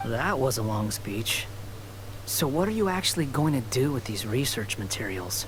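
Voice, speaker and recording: A man's voice: medium pitch, animated, moderate pace, natural voice. A man voicing a proud young male wanderer in a video game, dry, scornful and sarcastic. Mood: curt, dry. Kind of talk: scripted video game dialogue. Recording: noisy game stream capture.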